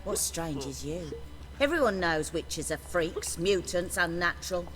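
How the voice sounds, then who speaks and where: An actress playing a brassy, scornful brothel madam in a video game, blunt and mocking. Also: female voice, fairly low pitch, expressive, unhurried, fairly bright tone, big-room sound, soft background music. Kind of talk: video game character acting.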